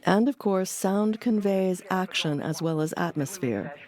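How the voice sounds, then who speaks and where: A woman hosting an audio documentary, reading scripted narration in a measured, explanatory way. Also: lowish voice. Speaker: documentary host. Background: music under the voice.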